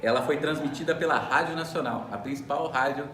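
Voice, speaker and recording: A man's voice: on the high side, brisk, a crowd in the background. A man with a Brazilian Portuguese accent co-hosting a student radio program in a semi-scripted presenting style. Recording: roomy echo, faint background noise.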